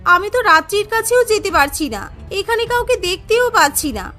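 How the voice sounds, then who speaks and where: A very high, bright voice for a guileless young village woman in a story, acted out by a female narrator. She exclaims plaintively and frightens easily. Music plays behind her. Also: lively intonation, moderate pace, heavily acted. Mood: frightened, pleading.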